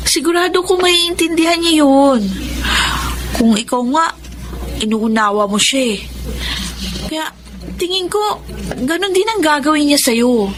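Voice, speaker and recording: A chirpy young woman as the supportive best friend in a Filipino radio drama. Her voice is high and Filipino-accented, with faint noise behind. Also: animated, unhurried, long pauses. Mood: reassuring.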